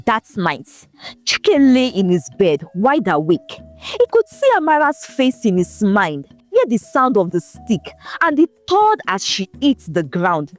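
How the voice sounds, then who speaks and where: A woman narrating a folktale in a scripted, expressive, dramatic storytelling manner. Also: medium pitch, unhurried, faint music behind.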